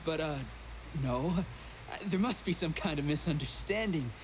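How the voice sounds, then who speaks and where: A man's voice: a little high, expressive, a little slow, slight background noise, rough recording. A man voicing a timid, confused soldier in a video game, stammering and hesitant.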